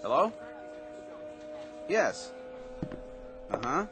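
An actor playing a down-on-his-luck former pitcher in a radio drama, humble and eager. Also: big pitch swings, extremely slow, exaggerated voice. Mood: plain. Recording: radio play with music underneath.

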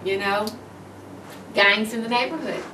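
A woman's voice: medium pitch, background noise. Woman judge, roomy distant sound. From Tennessee. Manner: earnest, warm laughing asides, quick restarts. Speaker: juvenile court judge, guest. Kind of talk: interview answers. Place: office with bookshelves, indoors. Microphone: far camera.

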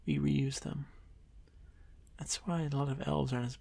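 Gravelly, shy monster boy, acted by a man. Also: fairly high pitch, fairly even intonation, normal speed, exaggerated voice. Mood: hesitant, wistful. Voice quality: gravelly, creaky. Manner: hesitant, stammering, soft-spoken, often dropping to whisper. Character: shy, nervous young male drider, lonely and apologetic. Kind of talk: ASMR fantasy roleplay addressed to the listener.

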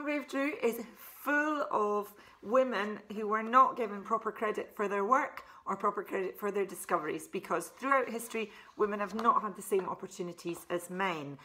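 A woman's voice: fairly high pitch, pushed and bright. A woman in her forties from Scotland, chatting as an author presenting a children's book and explaining things simply with asides. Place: furnished living room, indoors.

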